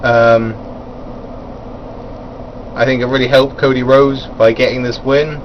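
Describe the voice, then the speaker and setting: A man talks through a wrestling event in a loose, rambling way, with plenty of ums and restarts and little chuckles at his own side remarks. His voice sits in an ordinary middle pitch. A faint hum of air conditioning runs underneath. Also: little pitch movement, unhurried, highs cut off. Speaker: wrestling reviewer. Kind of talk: casual spoken event review.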